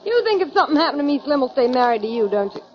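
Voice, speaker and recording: High-voiced, tough cowgirl. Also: big pitch swings, racing. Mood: biting, defiant. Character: tough, sharp-tongued female rodeo rider. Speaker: actress in a radio drama. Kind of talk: 1940s radio acting. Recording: dull, noisy 1940s radio recording.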